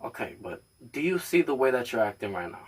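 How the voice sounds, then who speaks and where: A man's voice: medium pitch, very animated, fast talker, slightly rough, far from the mic. A man from Puerto Rico hosting a podcast, arguing bluntly with plenty of swearing and talking over his guest. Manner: blunt and profane, interrupts, talks over the guest. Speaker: podcast host. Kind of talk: heated casual argument. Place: home.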